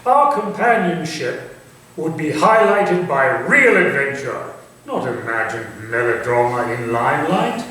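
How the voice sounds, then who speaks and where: A man playing a manipulative, commanding Victorian gentleman villain in a staged thriller, speaking in formal, theatrical phrases. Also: medium pitch, big pitch swings. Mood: formal, persuasive. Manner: formal, deliberate, theatrical delivery. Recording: distant, echoey stage recording with faint noise.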